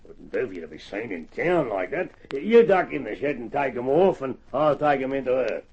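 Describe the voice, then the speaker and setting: Crafty rural man, creaky and animated. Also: medium pitch, normal speed. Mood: breezy, sly. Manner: folksy, colloquial, mock-polite. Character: crafty, bullying rural man feigning innocence. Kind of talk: old-time radio drama acting. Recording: dull lo-fi old radio recording.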